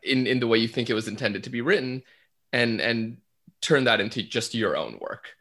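A man's voice: mid-range voice, lively intonation, normal speed, a bit bright. A young man with a mid-range voice speaks as an actor on a panel. His talk is casual and colloquial, with an eager, enthusiastic way of explaining things. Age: young adult.

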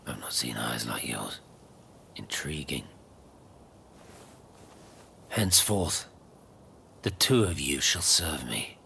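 Commanding game warlord, male: extremely low, heavy vocal fry, quiet and coolly curious. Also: very animated, slow, long pauses, a little hiss or hum, full character voice. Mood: intrigued, menacing. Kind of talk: video game cutscene acting.

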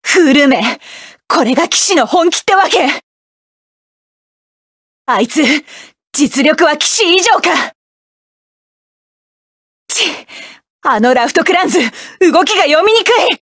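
An actress voicing a proud, combative young woman mecha pilot, delivering sharp, commanding battle lines for a game. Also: sits high, expressive, slow, pauses often, dry recording, clean studio sound, heavily acted. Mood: fierce, defiant.

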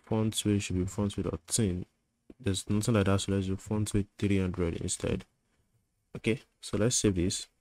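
A man's voice: on the low side, fairly even intonation, normal speed, a little mellow. A man giving a screen-recorded coding tutorial, thinking aloud as he types, with fillers and self-corrections.

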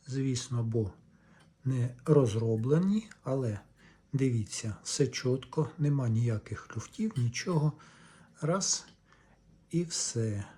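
A man giving a casual hands-on demonstration as a video host, speaking in short, halting, unhurried phrases. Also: medium pitch, dark tone. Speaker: host of a reel-to-reel repair channel.

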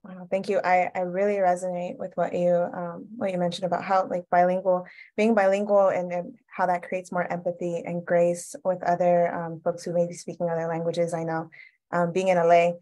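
A woman's voice: fairly low pitch, completely flat delivery, moderate pace, mellow. A woman moderating a panel on a video call, with a conversational, affirming manner.

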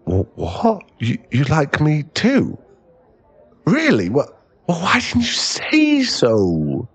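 A man playing a drunk, clingy, lovesick boyfriend in an intimate ASMR roleplay, with halting, pleading speech and a husky, gravelly voice. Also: fairly high pitch, huge pitch swings, no echo, full character voice. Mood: surprised, smitten. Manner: tipsy, halting, drawn-out, pleading delivery.

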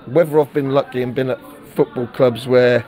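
A man's voice: medium pitch, steady tone, normal speed, muffled recording. A middle-aged man, a football manager giving a frank post-match interview and often repeating words as he searches for them.

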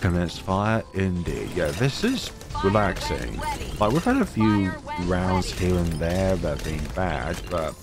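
A very low-pitched man, thirty, with a cold that makes his deep voice deeper still. He talks calmly over his own gameplay and drifts into chatty tangents. Game music plays clearly under his desk-mic voice-over. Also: measured pace. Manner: calm, rambling, chatty tangents. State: has a cold. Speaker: gaming channel host. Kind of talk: relaxed gameplay commentary.

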